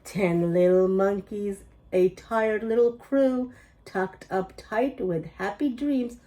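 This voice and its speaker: A woman's voice: medium pitch, slow, mellow, low-quality recording. A woman hosting a children's storytime, reading rhymes aloud expressively with a sing-song delivery and emphasized sound words. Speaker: storytime host reading picture books aloud.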